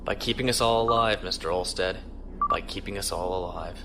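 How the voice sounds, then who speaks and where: An actor playing a calm, commanding starship captain in a measured, authoritative way for an audio drama. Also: male voice, low voice, creaky, very noisy. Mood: resolute.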